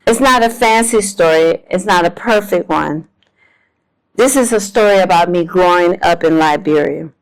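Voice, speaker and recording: A woman's voice: fairly low pitch, normal speed, rough recording. A woman in her seventies from South Florida, telling her life story to an audience in a homespun way, with ums and self-deprecating jokes. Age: 70s. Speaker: guest speaker. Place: lecture room with a live audience.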